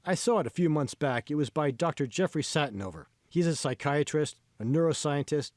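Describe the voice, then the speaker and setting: An earnest mentor played by a man lectures with emphatic, instructive force. His pitch moves in lively swings, in a close, dry audiobook recording. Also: a little fast, no echo, lightly acted. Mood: emphatic, lecturing.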